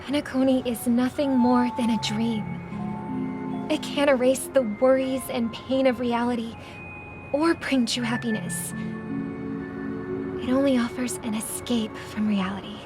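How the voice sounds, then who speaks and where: Woman acting as gentle young game heroine, high-pitched, earnest, firm, composed delivery, strong music underneath. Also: steady tone, measured pace, pauses often, lightly acted. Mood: earnest concern. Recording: loud music behind the voice.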